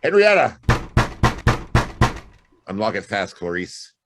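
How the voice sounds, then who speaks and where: An actor playing an impatient, sardonic private detective in a brusque, slangy 1940s radio drama style. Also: male voice, lowish voice, big pitch swings, slow, noisy background. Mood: impatient, urgent.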